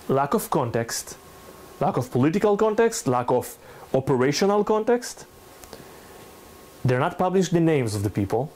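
A man's voice: on the high side, darkish tone, a little hiss or hum. Israeli-accented man giving a public lecture. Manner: halting non-native English, emphatic. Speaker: lecturer, NGO researcher. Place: indoor salon room, speaking to a small audience.

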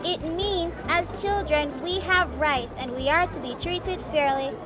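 Very high-voiced Jamaican little girl puppet. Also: measured pace, music in the background. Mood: confident, teasing. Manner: explanatory, teacherly. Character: young Jamaican girl puppet, confident little know-it-all. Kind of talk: children's puppet show acting.

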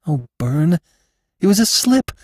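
A man putting on a high, anguished woman. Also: big pitch swings, a little slow, a little mellow, no echo, studio quality. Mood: distraught. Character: distraught woman, young adult.

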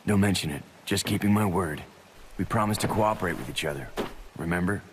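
An actor playing a gruff, bitter ex-soldier on the run in a video game. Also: male voice, low voice, brisk, slight background noise, natural voice. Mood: wry. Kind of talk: video game cutscene acting.

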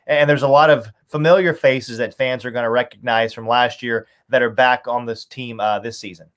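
A man's voice: mid-range voice, fairly even intonation, normal speed, fairly bright tone. A man in his thirties, a sports announcer, talking conversationally as a podcast guest on a video call from home. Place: small home room, indoors.

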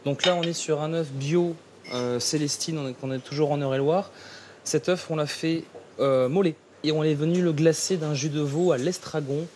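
A man, a chef explaining his work haltingly in an interview. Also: a little high, steady tone, a little mellow, a little hiss or hum. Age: 30s. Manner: halting, searching for words. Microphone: lapel mic.

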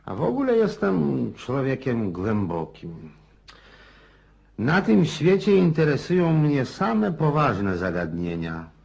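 An actor playing a blustering, self-important old countryside landowner in a radio play, rambling and folksy with a husky voice. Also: male voice, fairly low pitch, heavily acted. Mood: self-important musing.

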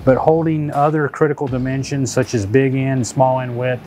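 A man's voice: medium pitch, flat delivery, moderate pace, mellow, slight background noise. A man in his fifties, a company director, explaining a product in technical detail with fillers and restarts, in an indoor lab. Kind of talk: corporate product video talk. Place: indoor inspection lab with machines. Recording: faint music behind.

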